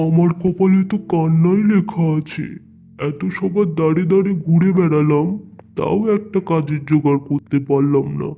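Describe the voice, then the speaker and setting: Man acting a high-voiced, earnest poor farmer. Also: steady tone, normal speed, highs cut off, faint music behind, lightly acted. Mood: despairing. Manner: earnest, plaintive. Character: poor, helpless, kind-hearted farmer father.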